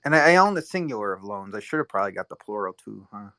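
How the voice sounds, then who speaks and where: A man's voice: on the low side, sing-song, quick pace, sharp tone, no echo. A man in a casual online chat, talking hesitantly and restarting his sentences. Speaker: guest speaker.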